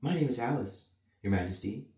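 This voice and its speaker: A man plays a polite young storybook girl, earnest and easily vexed. The pitch is a little raised, and the recording is roomy and distant. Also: animated, normal speed, mellow, lightly acted. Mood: polite, timid. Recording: roomy echo, distant mic.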